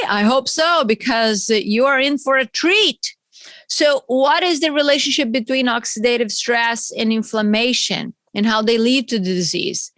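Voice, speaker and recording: A woman with a Brazilian accent talks through a solo health podcast, slow and unhurried. Her voice sits a little high, and she keeps stopping to explain big words with chatty asides. The sound is dry and close, with no room around it. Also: fairly bright tone, dry recording. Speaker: podcast host.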